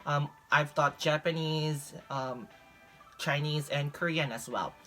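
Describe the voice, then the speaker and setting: A woman's voice: deep voice, takes it slowly, fairly bright tone, soft background music. A young woman from the Philippines with a Filipino accent, giving casual advice as a vlog host. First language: Visayan (Filipino). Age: young adult. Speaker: vlog host, English teacher.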